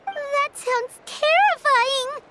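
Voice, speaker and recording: A woman voicing a small, childlike, excitable floating companion creature in a video game, squeaky and exclamatory, with music behind. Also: drawn-out words, very noisy, full character voice. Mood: startled.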